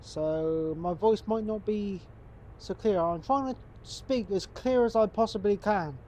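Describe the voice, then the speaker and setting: Guy narrating his gameplay, bunged up from a cold, soft mellow tone, chatty and easygoing. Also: high voice, unhurried, a little hiss or hum. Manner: relaxed, chatty, talks to viewers as folks. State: congested from a cold. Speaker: gaming channel host. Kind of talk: casual gameplay commentary.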